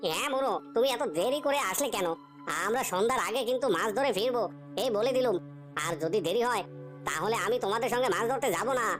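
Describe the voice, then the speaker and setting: A woman voicing an anxious, talkative village fisherman in a cartoon story, with a hurried, fussing manner. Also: a little high, soft background music, natural voice.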